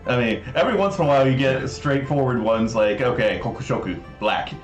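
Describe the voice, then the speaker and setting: A man's voice: medium pitch, fairly quick. An American man from Southern California hosting a casual livestream, explaining things in a scholarly way. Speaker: livestream host presenting a game project. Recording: roomy echo, faint music behind. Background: faint music.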